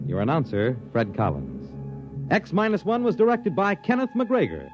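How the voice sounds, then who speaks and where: A man's voice: a little high, wildly swooping pitch, normal speed. An American man reading a script as a 1950s radio announcer, formal, dramatic and emphatic. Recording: muffled 1950s radio recording, music behind.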